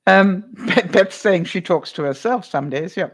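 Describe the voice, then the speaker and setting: A woman vlogger chatting casually on a livestream, answering viewers by name, lively and quick to laugh, with a loud voice that carries. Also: fairly low pitch. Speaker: vlogger answering live chat.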